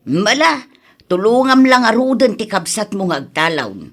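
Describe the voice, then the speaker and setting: An emphatic mother of grown sons, acted by a woman in radio drama style. She chides and chatters in a lively, swooping voice that is slightly husky. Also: mid-range voice, normal speed. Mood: chiding, gossipy. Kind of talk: Ilocano radio drama acting.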